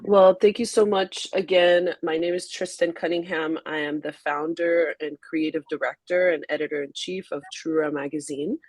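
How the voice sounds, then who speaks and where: A woman from Haiti whose first language is French, a magazine founder answering questions on an online webinar call in a soft, unhurried way with frequent ums. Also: deep voice, steady tone, no room sound. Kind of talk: webinar interview.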